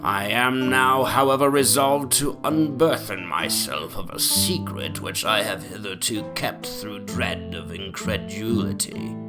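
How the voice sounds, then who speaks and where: A man playing a very old, self-important English gentleman, reading an ornate antique memoir in a deliberate, pompous storytelling manner. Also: lowish voice, music in the background, lightly acted. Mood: confiding, grand.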